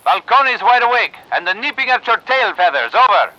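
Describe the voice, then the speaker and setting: High, swooping, fiery commander. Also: male voice, sing-song, brisk, no echo, highs cut off. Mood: cocky. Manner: theatrical, combative military radio talk. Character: proud, fiery Italian tank commander in World War II. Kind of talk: video game cutscene acting.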